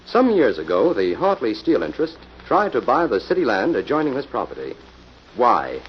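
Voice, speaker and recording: Probing investigator: lowish, animated delivery, businesslike manner, vintage radio drama through noisy audio. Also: male voice, moderate pace. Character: calm, determined male investigator working with police. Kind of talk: 1940s radio acting. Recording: muffled, noisy old radio recording.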